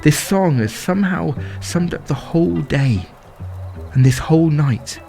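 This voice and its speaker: Lively, lovestruck young man, over music. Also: fairly high pitch, measured pace, gravelly. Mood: tender, elated. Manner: poetic, rhythmic, confessional delivery. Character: lovestruck young man at a festival, anxious and excitable. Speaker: solo performer. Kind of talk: dramatic first-person storytelling monologue. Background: music and sound design behind the voice.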